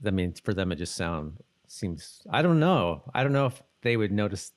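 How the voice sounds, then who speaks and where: A man co-hosting a podcast, chatting casually and haltingly, repeating words as he thinks aloud. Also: low voice, very animated. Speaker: podcast co-host. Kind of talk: casual chat about a TV episode.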